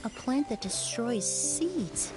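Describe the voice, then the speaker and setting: Poised, high-voiced refined young woman. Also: big pitch swings, low-quality recording. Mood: calm, intrigued. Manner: measured, poised, reflective. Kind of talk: video game voice acting. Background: game music.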